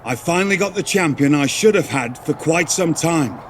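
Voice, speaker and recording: An actor playing an older male general manager in a video game, speaking formally and in measured phrases. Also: on the high side, expressive, a little hiss or hum, natural voice.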